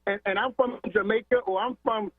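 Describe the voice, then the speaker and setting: A high-pitched man rants over a tinny phone line with a husky edge to his voice. He is from Philadelphia, calling into a talk show, and he stacks up repeated words and rhetorical questions as he presses his point. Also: fairly even intonation, fairly quick, no room sound, faint music behind. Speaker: caller. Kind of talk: call-in radio rant.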